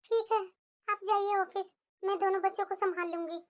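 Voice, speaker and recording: A woman voicing a young mother, weak after childbirth, speaking softly and anxiously. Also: very high-pitched, steady tone, moderate pace. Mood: resigned. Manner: soft and anxious.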